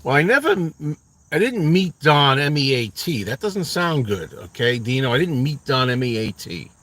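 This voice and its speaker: A man's voice: medium pitch, very animated, moderate pace, a bit bright. A middle-aged man with a Brooklyn accent, chatting casually from his car and answering viewers in a rambling, self-deprecating way. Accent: New York, Brooklyn. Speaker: live stream host. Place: parked car.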